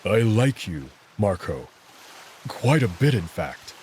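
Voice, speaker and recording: A man's voice: lowish voice, big pitch swings, slow pace, a little mellow, slight background noise. A man voicing a big wolf in a visual novel, evasive and wistfully romantic, with hesitant, understated delivery. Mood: shy, tender.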